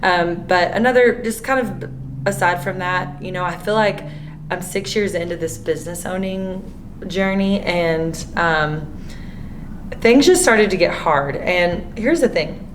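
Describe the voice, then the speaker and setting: A hoarse woman host who is losing her voice, talking candidly in a rambling way with many fillers. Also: lowish voice, a little slow, a little hiss or hum. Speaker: podcast host. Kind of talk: casual monologue.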